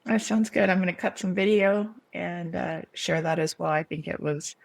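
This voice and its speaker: A woman's voice: fairly low pitch, normal speed, gravelly, no room sound. A woman co-hosting a podcast in casual, chatty and enthusiastic conversation. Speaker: podcast co-host. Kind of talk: casual chat.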